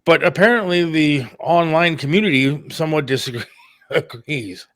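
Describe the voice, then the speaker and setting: A man's voice: on the high side, moderate pace, no echo. A middle-aged man talking candidly and casually on a talk show, plain-spoken and a little defensive. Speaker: radio producer and talk show host.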